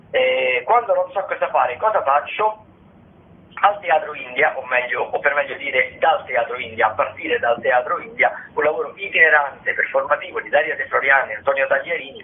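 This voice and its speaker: Man on a tinny, noisy phone line. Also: a little high. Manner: halting, searching for words, wordy. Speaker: theatre radio show contributor. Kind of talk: informal radio rundown of theatre listings.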